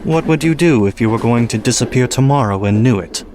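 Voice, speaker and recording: A man playing a gruff, fatalistic steam train engineer in an audio drama, speaking in a terse, blunt way. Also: medium pitch, animated, fairly quick, darkish tone, a little hiss or hum, natural voice. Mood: pensive, wary. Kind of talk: amateur audio drama acting.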